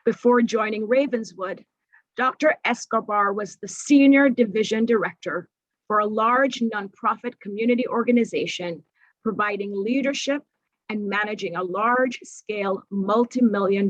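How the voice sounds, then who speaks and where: A woman moderating a panel on a video call, reading out a speaker introduction in a formal, measured way. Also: mid-range voice, dry recording, muffled recording.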